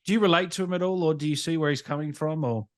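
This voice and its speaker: A man's voice: on the high side, racing. A man hosting a casual interview, agreeing often and asking his questions tentatively. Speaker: interviewer, host.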